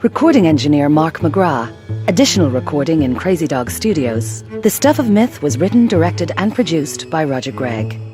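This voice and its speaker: A woman's voice: sits low, sing-song, normal speed, music in the background. A woman reading scripted closing credits as the announcer of an audio drama.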